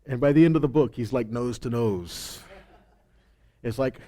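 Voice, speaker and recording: A man's voice: mid-range voice, normal speed, warm tone. A man giving an informal Bible teaching, reading scripture and commenting in a conversational way with rhetorical questions and frequent check-ins.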